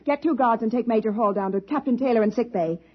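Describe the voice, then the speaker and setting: An actress playing a stern, brisk female military commander in a 1950s radio drama, giving crisp, authoritative orders. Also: fairly high pitch, close to an everyday voice. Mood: commanding. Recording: dull, lo-fi vintage radio broadcast.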